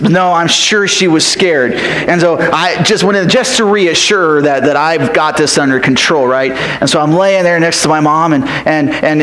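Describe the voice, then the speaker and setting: A man's voice: on the high side, fairly bright tone. A man voicing an eager, food-loving eight-year-old boy who tells his story breathlessly and whispers when he counts. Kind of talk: character monologue storytelling.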